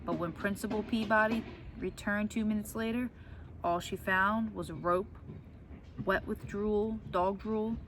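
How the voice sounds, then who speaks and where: A woman reads a picture book aloud in the expressive manner of a teacher with her class. Her voice sits at an ordinary pitch for a woman. There is clear ambient noise behind her. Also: unhurried. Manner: expressive, acts out the characters' lines. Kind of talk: animated children's story read-aloud.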